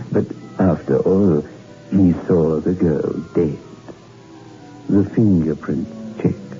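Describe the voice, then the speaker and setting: Very deep, slow, sinister male narrator. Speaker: host and narrator of a horror radio series. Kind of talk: ominous scripted narration. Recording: dull 1960s radio recording with music.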